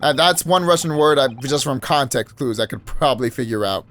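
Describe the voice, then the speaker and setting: Man, let's play commentary, casual and self-mocking, animated pitch, faint background hiss. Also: a little fast. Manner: self-deprecating, chatty asides.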